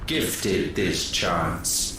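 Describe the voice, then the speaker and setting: A super deep haughty god villain, really slow and solemn, game cutscene. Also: male voice, very animated, background noise, exaggerated voice. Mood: wrathful. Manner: grave, formal, imperious. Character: haughty godlike villain, solemn male deity demanding penance. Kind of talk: dramatic video game voice acting. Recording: heavy echo, distant, loud music behind. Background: loud music.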